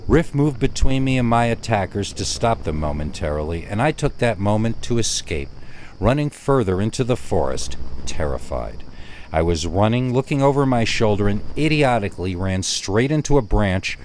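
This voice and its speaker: A low-pitched male storyteller delivers a first-person horror tale. He is deliberate and suspenseful, leaving dramatic pauses between phrases. A bed of nighttime crickets and insects runs behind him. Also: animated, a little mellow, slight background noise, rough recording. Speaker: narrator of scary stories.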